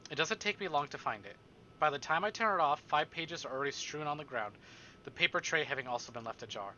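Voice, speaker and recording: A man's voice: a little high, expressive, fast talker, sharp tone, dull audio, slight background noise. A man livestreaming a visual novel, reading it aloud dramatically and adding casual joking asides.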